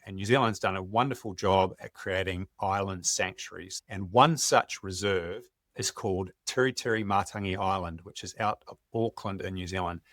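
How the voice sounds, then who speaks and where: A middle-aged Australian man, a wildlife photographer, telling stories in a relaxed, enthusiastic podcast chat. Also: low voice, no room sound. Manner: enthusiastic, conversational, some restarts.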